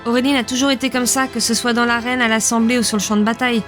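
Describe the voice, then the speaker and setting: A woman's voice: a little high, fairly even intonation, fast, fairly bright tone, soft background music, close to an everyday voice. A woman playing a principled, plain-spoken leader who is protective of creatures in an audio drama. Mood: exasperated.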